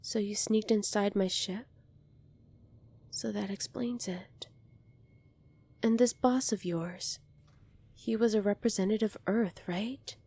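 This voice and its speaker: A woman playing a gentle, earnest young alien princess in an ASMR roleplay, speaking softly and politely to the listener. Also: mid-range voice, slow pace, pauses often, slightly rough. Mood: concerned. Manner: soft-spoken, polite, earnest, apologetic.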